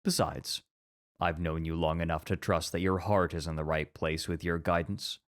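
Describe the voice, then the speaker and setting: A man playing a calm, introspective college teacher, speaking in a measured, formal way in an ASMR roleplay. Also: low-pitched. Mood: calm, fond. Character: composed, introspective, scholarly male college teacher.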